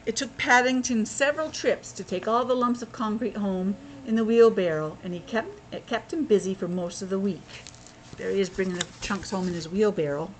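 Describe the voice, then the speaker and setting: A woman reading a children's story aloud in an expressive way, pausing to explain things simply as a teacher would. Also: medium pitch, slight background noise, rough recording. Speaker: schoolteacher reading a picture book aloud to children.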